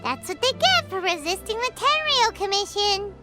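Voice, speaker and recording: A woman voicing a tiny, cheeky, childlike fairy companion in a video game, with a squeaky little voice. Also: big pitch swings, moderate pace. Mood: smug. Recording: loud music behind the voice.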